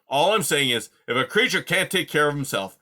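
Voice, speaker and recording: A man's voice: fairly high pitch, animated, a little fast, bright, forceful tone, pitched above the performer's own voice. A man voicing a grumpy, scornful old male porcupine who growls and insults, in a storybook reading. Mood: scornful gruff.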